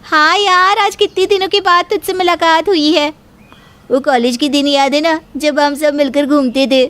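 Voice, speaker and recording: A woman's voice: high voice, steady tone, moderate pace, fairly bright tone. A woman voicing a chatty, inquisitive young woman in a cartoon, with a coaxing manner. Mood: gushing, chatty. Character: chatty young women, inquisitive sister-in-law and friends. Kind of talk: cartoon dialogue.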